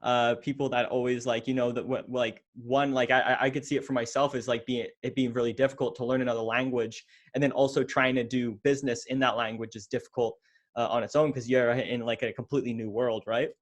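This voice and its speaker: A young man hosts a business podcast in a casual, eager voice at an ordinary mid pitch. He stumbles often, repeating words and filling gaps with "like" and "uh" as he talks into a podcast mic. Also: little pitch movement, brisk. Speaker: podcast host. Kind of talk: casual interview hosting. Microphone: podcast microphone in frame.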